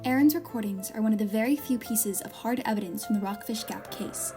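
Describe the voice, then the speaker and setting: An actress plays a serious female investigative reporter with a slightly high voice. She is measured and probing, in the style of a scripted documentary podcast. Music runs clearly beneath her. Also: lightly acted. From Northern Virginia. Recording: music behind the voice.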